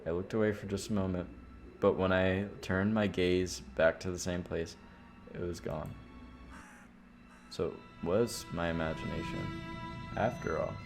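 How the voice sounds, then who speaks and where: A man with a fairly deep voice reads a horror visual novel aloud for a stream. He narrates expressively, like a storyteller, and slips into casual asides. Game music plays clearly behind him. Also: fairly even intonation, a little slow, long pauses. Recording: loud music under the voice.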